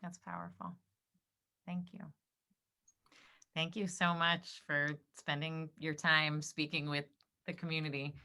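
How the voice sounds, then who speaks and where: A woman's voice: sits low, flat delivery, a little slow, gravelly, long pauses, bright, forceful tone. A woman rabbi hosting an interview over a video call, asking questions tentatively and chatting in a casual, unpolished way. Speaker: rabbi and event host.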